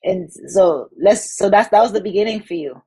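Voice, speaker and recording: A woman's voice: mid-range voice, moderate pace, warm tone, rough recording. A woman from Haiti hosting a podcast interview, upbeat and encouraging. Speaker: host.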